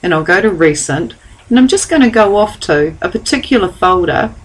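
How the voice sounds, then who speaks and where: A woman giving a calm, step-by-step software tutorial over a screen recording. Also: fairly low pitch, a little fast, breathy edge, low-quality recording. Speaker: software trainer.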